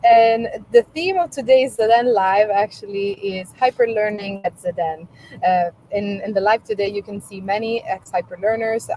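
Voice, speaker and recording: A woman's voice: mid-range voice, warm tone, slight background noise, poor audio. A woman hosting a company live stream over a video call while traveling, chatting casually and laughing lightly between questions. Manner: chatty, friendly, with small laughs and fillers.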